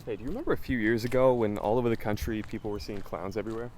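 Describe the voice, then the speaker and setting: A low-pitched American man acting as a determined amateur investigator in an audio drama. He reasons aloud, earnest and curious, with some background noise on the recording. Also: steady tone, very fast, a little mellow, natural voice. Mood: earnest, resolute. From Los Angeles, United States. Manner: thoughtful, earnest, questioning. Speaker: investigative podcast host. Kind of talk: naturalistic audio drama dialogue.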